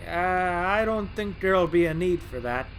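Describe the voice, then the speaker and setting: A man's voice: high-pitched, animated, normal speed, slight background noise, rough recording. A man voicing a boastful, charming male tank engine in an audio drama, with a jaunty, folksy manner.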